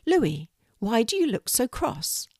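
A woman's voice: on the high side, very animated, slow, a little mellow, slightly rough, no echo, voice pushed up, exaggerated voice. Grandma reader as a self-assured girl adventurer in a storybook, encouraging, dry close sound. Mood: confident. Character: confident adventurous girl, rocket pilot friend.

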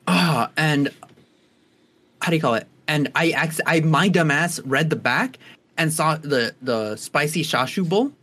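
A man's voice: fairly high pitch, expressive, measured pace, a bit bright. A man in casual chat with friends, telling a story in a rambling, self-mocking way with lots of fillers.

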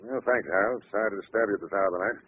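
An actor in a classic radio drama playing an easygoing, tired police detective with a dry, casual manner. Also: male voice, on the low side, racing, phone-quality sound, lightly acted. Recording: noisy, thin old radio recording.

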